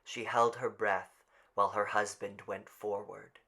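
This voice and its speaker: A man's voice: lowish voice, little pitch movement, a little slow, sharp tone. A man narrating a scripted story as an audiobook reader.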